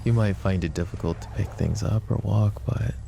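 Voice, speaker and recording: A man voicing a low, gravelly mafia boss. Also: noisy background. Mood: menacing. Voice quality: soft, smooth and taunting. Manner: mocking, slow and threatening, with sweet pet names. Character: possessive menacing male mafia boss. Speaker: voice actor. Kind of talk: roleplay ASMR audio drama.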